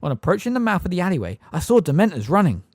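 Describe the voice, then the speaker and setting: A nervous old woman speaks in a high, quivering voice that gabbles through her answers, as a male audiobook reader puts her on. Her tone swoops about restlessly. It is recorded close and dry. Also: big pitch swings, rapid-fire, slightly rough, dry recording, full character voice. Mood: flustered. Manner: gabbling, flustered. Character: old frail nervous female witness. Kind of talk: audiobook character voice.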